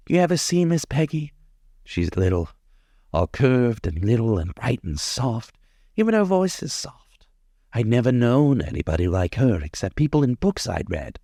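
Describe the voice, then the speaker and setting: A man plays an obsessive, menacing killer in a vintage radio thriller. His voice is low and husky, swinging widely in pitch as he confesses. The delivery is intense and halting, full of brooding threat. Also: a little mellow, no room sound, studio quality, full character voice. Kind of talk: old-time radio suspense acting, confessional narration.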